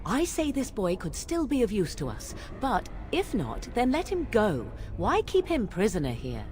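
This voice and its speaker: A woman's voice: mid-range voice, wildly swooping pitch, normal speed, a little mellow, faint music behind. A cool, mocking young witch. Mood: scornful. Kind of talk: video game dialogue.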